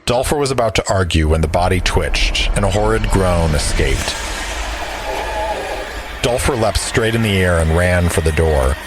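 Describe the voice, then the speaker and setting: A man's voice: low-pitched, lively intonation, moderate pace, music in the background, poor audio. A man narrating an audio drama as a storyteller, reading scripted prose. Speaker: narrator and player.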